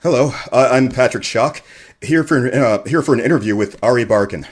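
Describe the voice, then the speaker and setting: An actor playing a nervous, deferential radio journalist in an audio drama, hesitant and stammering. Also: male voice, mid-range voice, a little fast, slightly rough, lightly acted. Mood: flustered, apologetic. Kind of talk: full-cast audio drama acting.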